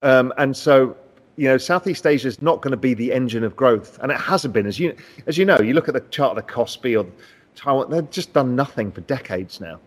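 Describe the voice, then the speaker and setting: A man's voice: mid-range voice, brisk. Confident man explaining markets. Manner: confident, explanatory, animated emphasis. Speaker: macro analyst in a finance interview. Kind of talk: conversational market discussion.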